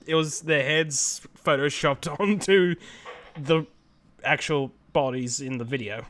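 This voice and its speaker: A young man co-hosting a casual chat show, telling animated stories and laughing often. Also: fairly high pitch, takes it slowly, a little hiss or hum. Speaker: podcast co-host.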